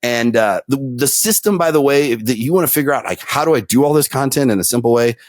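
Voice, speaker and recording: A man's voice: mid-range voice, quick pace, dry recording, studio quality. A man telling stories in a casual podcast conversation, with many fillers and restarts. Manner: storytelling, animated, many fillers.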